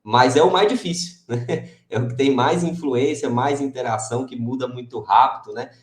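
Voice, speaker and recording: A man's voice: medium pitch, moderate pace, echoey room. A man, an agronomy researcher, speaking as a guest in a casual interview, agreeable and with hesitant restarts.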